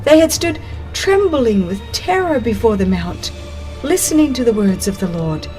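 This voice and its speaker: A woman reading a dramatized religious narration in a solemn, expressive, deliberate way. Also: on the high side, rough recording. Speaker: audiobook narrator. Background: music.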